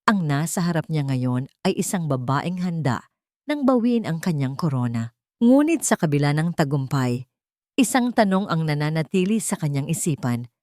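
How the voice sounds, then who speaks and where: Low-pitched woman narrating, very dry sound. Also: a little mellow, no echo. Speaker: storyteller. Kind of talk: scripted dramatic narration of a romance story.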